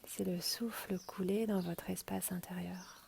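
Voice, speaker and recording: A woman guiding a relaxation meditation in a hushed, breathy, half-whispered, soothing voice, as a yoga nidra teacher. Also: fairly low pitch, fairly even intonation, a little slow, slight background noise. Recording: rain and birdsong behind the voice. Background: rain and birds.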